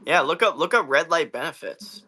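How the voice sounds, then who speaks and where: A man's voice: on the high side, animated, brisk, a crowd in the background. A man chatting casually as a podcast co-host, laid-back and slangy. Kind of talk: casual chat.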